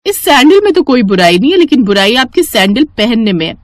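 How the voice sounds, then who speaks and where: A woman voicing a sharp-tongued, teasing young sister-in-law in a cartoon story, with a mocking, needling delivery. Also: fairly high pitch, sing-song, a little fast, dry recording, clean studio sound.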